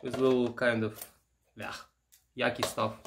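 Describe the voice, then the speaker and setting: A man giving casual, unscripted show-and-tell commentary, halting and musing aloud as he handles things. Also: medium pitch, flat delivery, heavy background noise. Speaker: vintage electronics vlogger.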